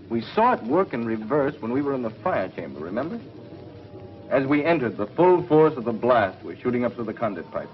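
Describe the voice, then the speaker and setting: Actor as authoritative 1950s space scientist, muffled soundtrack. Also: male voice, medium pitch, lightly acted. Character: authoritative, patient middle-aged male space scientist. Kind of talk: 1950s TV serial acting. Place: studio laboratory set. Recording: dull, noisy 1950s TV soundtrack.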